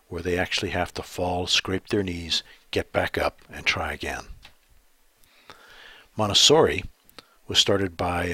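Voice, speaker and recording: A middle-aged man giving an educational talk, thoughtful and pausing often with ums and uhs. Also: low voice, bright, forceful tone, husky, no room sound. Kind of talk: presentation.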